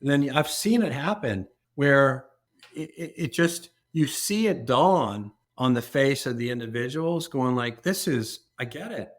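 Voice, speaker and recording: Man, earnest, explanatory, mid-pitched voice, repeats words. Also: lively intonation, unhurried. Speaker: organ donation organization executive. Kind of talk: interview guest explaining.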